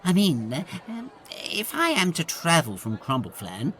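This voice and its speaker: A woman's voice: low voice, huge pitch swings, unhurried, fairly bright tone, heavily acted. A woman voicing a fussy, self-important male lord in an audio comedy, obsequious and petulant. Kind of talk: audio comedy drama acting.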